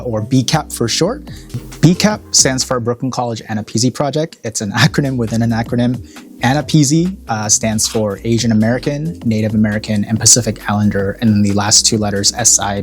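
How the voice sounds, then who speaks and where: A man's voice: medium pitch, a little fast. A man who was born in Korea, a college program director, speaking earnestly and reflectively in an interview with many fillers. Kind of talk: interview talking about an event.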